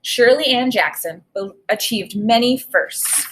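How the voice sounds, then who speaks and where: A woman teacher reading a children's book aloud and pausing to think aloud about it. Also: a little high, a little hiss or hum, low-quality recording. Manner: reads with expressive emphasis, pauses to think aloud. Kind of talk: read-aloud lesson with think-aloud.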